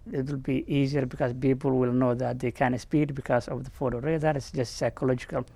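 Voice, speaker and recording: A man hosting a conversational interview, putting his questions in a halting, rambling way. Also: medium pitch, level tone, gravelly, dark tone, dry recording. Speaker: interview host.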